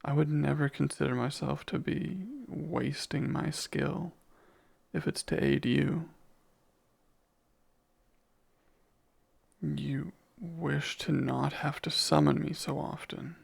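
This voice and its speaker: Casting: male actor as jealous, menacing, devoted demon for romantic ASMR roleplay; heavy vocal fry, slow formal delivery. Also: a little high, fairly even intonation, heavily acted. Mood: devoted, possessive. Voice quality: gravelly. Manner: slow, formal, deliberate phrasing.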